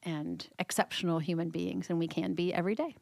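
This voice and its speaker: A woman's voice: fairly low pitch, a little fast, vocal fry, a little mellow, no room sound. A woman therapist who answers interview questions in a friendly, thoughtful way and laughs often. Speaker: therapist guest.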